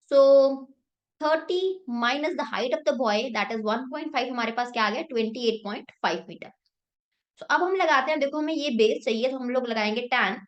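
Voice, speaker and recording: Woman teacher with a sore throat. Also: a little high. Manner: explains step by step, asks and answers own questions. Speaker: math teacher. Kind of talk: Hindi-English math lesson.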